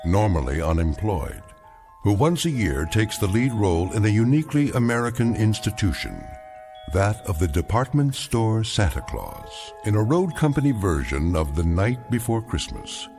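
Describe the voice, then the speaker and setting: Deep-voiced guy narrating spooky radio drama, lots of rise and fall, music playing. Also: very animated, darkish tone. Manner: measured, ominous. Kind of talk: scripted narration.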